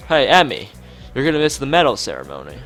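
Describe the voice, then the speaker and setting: A man's voice: mid-range voice, wildly swooping pitch, fast, a bit bright, voice pushed up. A man voicing an aloof, blunt and dreamy teenage girl artist from a visual novel, matter-of-fact, with music behind. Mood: detached, blunt.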